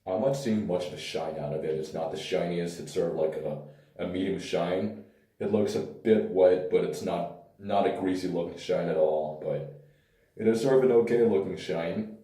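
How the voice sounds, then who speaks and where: A man with a hoarse, tired voice talks through a hair product review in a loose, rambling way. His voice sits very low and sounds dark and mellow. He is recorded from some distance in an echoey room. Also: moderate pace. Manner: relaxed, rambling, hesitant fillers. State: hoarse, lost voice. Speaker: pomade reviewer.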